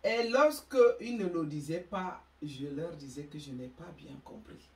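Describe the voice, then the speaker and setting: A woman's voice: deep voice, very animated, a little mellow, echoey room, far from the mic. A woman with an African French accent hosting her own channel, delivering an emphatic, moralizing monologue. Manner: slow, emphatic, preachy. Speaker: YouTube channel host.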